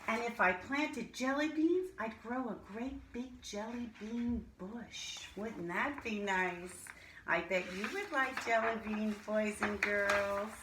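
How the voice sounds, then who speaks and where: A woman teacher reading and explaining to young children in simple, deliberate language with questions. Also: mid-range voice. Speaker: early-grade teacher reading a picture book aloud to children. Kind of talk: storybook read-aloud and children's lesson. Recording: roomy echo, clear background noise.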